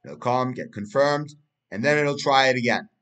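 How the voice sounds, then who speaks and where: A man's voice: mid-range voice, expressive, moderate pace, fairly bright tone. Rambling man talking through a crypto chart. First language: Turkish. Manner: rambling, many fillers and restarts. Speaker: crypto market analyst. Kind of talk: casual chart analysis commentary.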